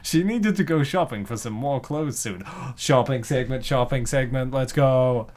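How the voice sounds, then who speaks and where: A man's voice: medium pitch, a little slow, darkish tone. A man narrating a comic dub with playful, slangy joking asides.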